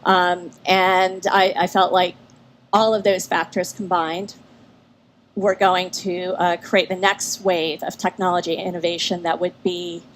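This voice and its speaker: A woman's voice: fairly low pitch, level tone, unhurried, rough recording. A middle-aged woman, a venture capital investor, introducing herself as a panelist at a live event. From born in Nairobi, lived around the world. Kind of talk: spoken self-introduction on a panel. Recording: faint background noise, live panel.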